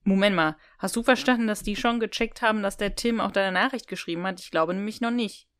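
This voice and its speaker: A woman's voice: medium pitch, brisk, fairly bright tone, dry recording, clean studio sound. A woman co-hosting a podcast, casually discussing an audio drama in a colloquial, questioning way. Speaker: podcast co-host.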